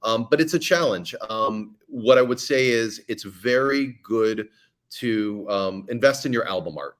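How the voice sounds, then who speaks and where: Low-voiced man giving podcast advice. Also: unhurried. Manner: chatty, frequent ums and restarts, advice-giving. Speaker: podcast guest. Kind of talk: casual podcast conversation.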